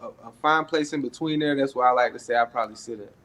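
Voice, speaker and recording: A man's voice: mid-range voice, fast, a bit bright. A young man from Atlanta, a rapper talking casually in an interview and projecting his voice. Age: young adult. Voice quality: raspy. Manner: projecting his voice, laid-back with frequent fillers. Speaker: rapper guest.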